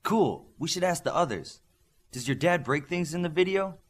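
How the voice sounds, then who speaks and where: A man's voice: on the high side, lively intonation, normal speed, creaky, pitched above the performer's own voice. Man voicing an eager young superhero boy. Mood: eager, curious.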